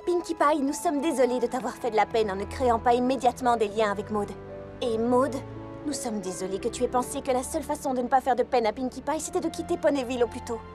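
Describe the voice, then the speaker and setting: A woman's voice: on the high side, quick pace, lightly acted. A woman voicing an earnest young female pony in a French cartoon dub, speaking in an articulate, explanatory way. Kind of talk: French animated series dub. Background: music.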